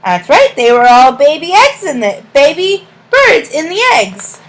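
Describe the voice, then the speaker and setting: A woman reading a storybook aloud as a teacher to young children, in a deliberate sing-song way, pausing to ask questions and give praise. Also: sits high, sing-song.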